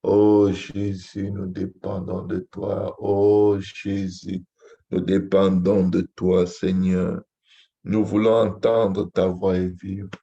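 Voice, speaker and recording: Deep, gravelly man praying fervently. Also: flat delivery, takes it slowly, warm tone, lo-fi sound. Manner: repetitive, pleading, chant-like invocation. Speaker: pastor leading prayer. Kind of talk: fervent extempore Pentecostal prayer.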